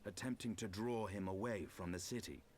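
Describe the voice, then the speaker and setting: An actor playing a stern, grave wandering knight in a fantasy game, speaking deliberately in formal, archaic language. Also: male voice, on the low side, a little mellow, a little hiss or hum, lightly acted. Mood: grave, firm. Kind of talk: theatrical fantasy game acting.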